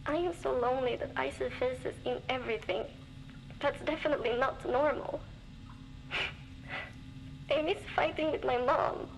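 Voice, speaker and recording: A woman's voice: high-pitched, a little slow, vocal fry, pauses often. An actress playing a young, lonely, worn-down woman surviving alone in a frozen wasteland, speaking wearily and bluntly in a game's audio diary, with dry humour and frequent swearing. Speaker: game character recording audio diaries. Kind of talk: scripted voice acting as a diary log. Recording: noisy cassette tape recording.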